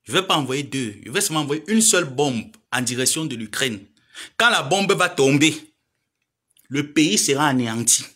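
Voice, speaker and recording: Man, 30s, bright edgy voice, preachy live-stream lecturer who pleads and scolds. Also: mid-range voice, lively intonation, normal speed, breathy edge. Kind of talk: admonishing social media live monologue.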